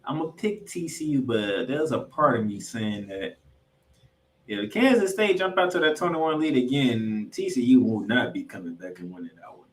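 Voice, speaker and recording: A man's voice: medium pitch, expressive, moderate pace, far from the mic. Man, sports podcast host, announcer-style football commentary, roomy echo, away from the mic. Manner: announcer-like, addresses listeners as ladies and gentlemen. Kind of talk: animated football preview commentary.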